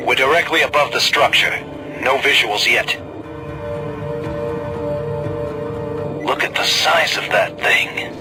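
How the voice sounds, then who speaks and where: An actor playing an intense male fighter squadron leader giving clipped, forceful commands in a space combat game. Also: medium pitch, moderate pace, lightly acted. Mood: tense, focused. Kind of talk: scripted game combat radio chatter. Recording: game music and vehicle noise behind.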